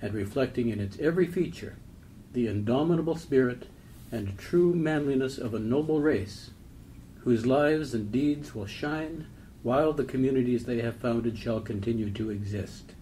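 A man reading a literary poem aloud in a measured, formal way. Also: medium pitch, mellow, slight background noise, poor audio. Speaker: poetry reader.

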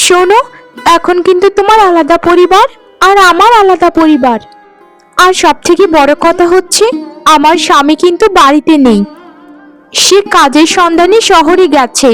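A woman voicing a spiteful, cold-hearted married sister-in-law in a cartoon, scolding and dismissive. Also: very high voice. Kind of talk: cartoon voice acting in Bengali fairy tale.

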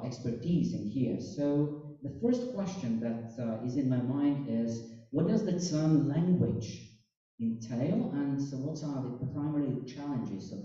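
Male panel host, dark muffled tone, far from the mic in a big echoey hall. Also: medium pitch. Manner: halting, many fillers and restarts. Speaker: panel moderator. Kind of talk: hosting a panel discussion. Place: large conference hall. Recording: roomy hall, distant mic, dull sound.

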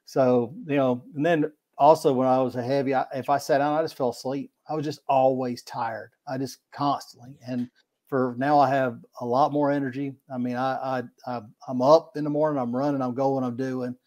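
A man's voice: mid-range voice, flat delivery, moderate pace, a little mellow. Folksy man on a video call. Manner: rambling, repeats words, folksy. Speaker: podcast guest, kayak angler. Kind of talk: casual video-call interview. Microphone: video call webcam mic.

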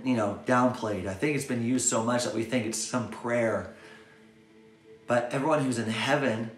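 A man in his thirties giving a short sermon straight to camera, earnest and animated, dropping to a soft hush when he prays. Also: mid-range voice. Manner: earnest, animated, swings between loud urging and soft prayer. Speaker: pastor. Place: bright home room with windows, indoors. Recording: echoey room, faint music behind.

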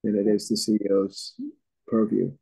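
A man's voice: on the low side, monotone, normal speed, creaky, dark tone, husky, low-quality recording. A middle-aged man on a planning board, joining a meeting by video call and speaking plainly and matter-of-factly. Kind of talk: meeting discussion.

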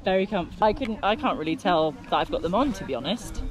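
A woman chatting casually with a friend outdoors on a ski slope, quick to sound incredulous. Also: fairly low pitch, animated, soft background music, poor audio.

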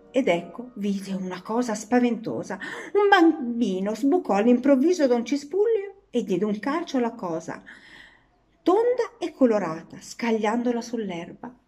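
Lively woman reading a children's story. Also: mid-range voice. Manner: animated, dramatizing the characters' lines.

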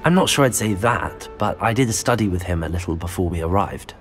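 A man's voice: low-pitched, animated, fairly quick, faint music behind. A young man playing a polite, modest new wizard student, courteous and reserved. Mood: polite, curious. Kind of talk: scripted game dialogue.

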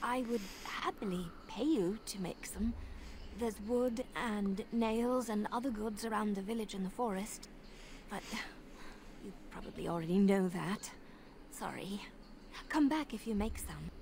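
Woman doing awkward timid villager in a fantasy game, medium pitch, apologetic, bit of noise behind. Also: measured pace, darkish tone, lightly acted. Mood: nervous. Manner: hesitant, apologetic.

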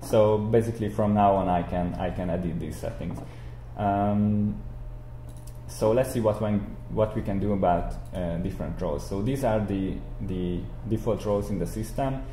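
A young man giving an explanatory software tutorial, haltingly, repeating and rephrasing himself as he goes. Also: fairly low pitch. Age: young adult. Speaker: tutorial instructor. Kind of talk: explanatory software screencast lecture. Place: indoor room, speaking beside a screen recording. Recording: roomy echo, audible background noise.

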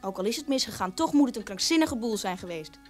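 A woman's voice: on the high side, expressive, fast talker, slight background noise, natural voice. An actress playing a proud, defiant nineteen-year-old woman in a radio drama monologue. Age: young adult.

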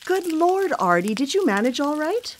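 An actress playing a brisk, sardonic older sister and proud home cook in a contemporary radio drama, with a dry, teasing manner. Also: female voice, high-pitched, big pitch swings. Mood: apologetic, annoyed.

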